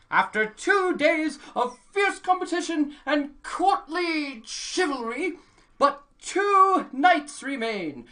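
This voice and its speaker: A woman playing a pompous royal tournament herald in a comic radio play, making deadpan ceremonial proclamations. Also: high-pitched, exaggerated voice.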